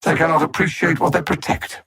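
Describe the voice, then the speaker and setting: An actor playing a condescending, coldly calculating alien supervillain in a video game, speaking with haughty, deliberate precision and theatrical mockery. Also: male voice, fairly high pitch, steady tone, fairly bright tone, breathy edge. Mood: cold disdain. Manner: haughty, mocking, deliberate and precise, with grand dramatic swoops.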